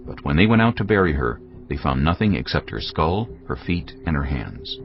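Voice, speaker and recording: Male narrator, extremely deep, gravelly, dramatic scripted scripture reading, muffled audio, music bed. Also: big pitch swings, normal speed.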